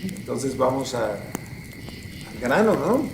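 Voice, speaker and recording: Echoey sound with clear noise around it carries a man acting as a city journalist in a radio play. His mid-pitched talk is colloquial and halting, like a casual interview. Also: big pitch swings, exaggerated voice. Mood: casual curiosity. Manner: hesitant, colloquial. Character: informal city journalist interviewing guerrilla fighters. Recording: echoey, clearly noisy ambience.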